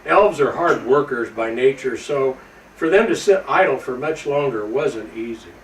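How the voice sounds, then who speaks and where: A man playing Santa Claus, a jolly old man reading a storybook to children in a folksy, slightly halting way. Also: medium pitch, animated, lightly acted. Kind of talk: storybook read-aloud to children. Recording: roomy, distant microphone with faint background noise.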